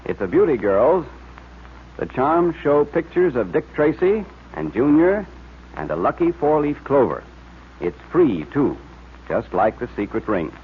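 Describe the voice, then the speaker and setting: A male American announcer from old-time radio, emphatic and polished, with a very mobile middle-pitched voice. The recording is narrow and phone-like, with clear hiss. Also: very animated, measured pace. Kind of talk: 1940s radio advertising and serial narration.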